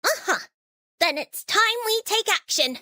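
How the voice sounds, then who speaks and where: A woman's voice: extremely high pitch, animated, a little slow, pushed and bright, no echo, clean studio sound, heavily acted. A woman voicing a plucky, polite young girl adventurer who takes charge in a game. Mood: determined. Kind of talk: game voice acting.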